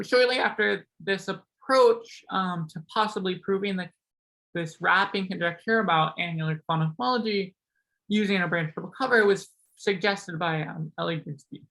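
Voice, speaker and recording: Bright-voiced young man with a sore voice. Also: sits high, creaky, poor audio. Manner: casual, many ums and likes, self-correcting. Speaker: seminar speaker. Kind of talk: academic math talk.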